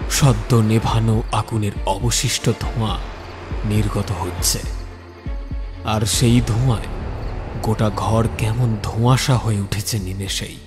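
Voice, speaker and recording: Male horror narrator over soft music. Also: on the low side. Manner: suspenseful, phrase-by-phrase delivery. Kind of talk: scripted dramatic narration.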